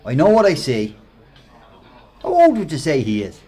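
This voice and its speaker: An actor playing a bullying, mocking Irish workmate with a Dublin accent and a rough voice in a radio play. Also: male voice, fairly high pitch, huge pitch swings, normal speed, dark tone, heavily acted. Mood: sneering, insinuating. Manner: mocking, insinuating, aggressive. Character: bullying, sneering male workmate, quick to threaten.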